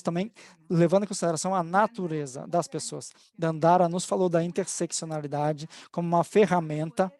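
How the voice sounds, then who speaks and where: A woman's voice: lowish voice, a little mellow. A woman in her forties from Spain, speaking Portuguese with a Spanish accent and summarizing a webinar panel over a video call, hesitant and full of restarts. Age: 40s. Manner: hesitant, with frequent restarts and fillers. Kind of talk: thoughtful spoken summary. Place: home, online event.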